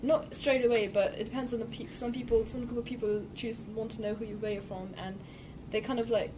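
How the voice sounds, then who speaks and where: A woman's voice: fairly high pitch, fairly even intonation, brisk. A young woman sixth form student, born in Pakistan, thinking aloud in a candid group discussion and often trailing off. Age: young adult. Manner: thoughtful, rambling, hesitant. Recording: distant mic with noise.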